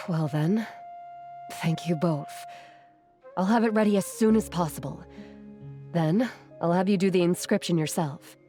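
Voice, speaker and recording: A woman playing a gentle, caring, modest retired artisan in a video game, soft-spoken and measured. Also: on the low side, faint music behind, lightly acted. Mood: modest, warm.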